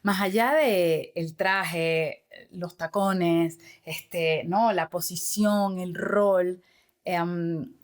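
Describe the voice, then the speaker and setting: Middle-aged female guest, lowish voice, earnest and reflective, peppers her talk with fillers. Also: steady tone, very slow. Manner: earnest, many fillers and tag questions. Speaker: podcast guest, author and former corporate manager. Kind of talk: reflective interview answers.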